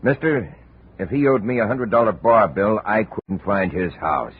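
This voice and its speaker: A jittery, evasive bartender. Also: male voice, on the low side, animated, moderate pace, husky. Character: nervous male bartender, whiny and evasive. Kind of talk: 1950 radio drama acting. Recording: tinny old radio broadcast.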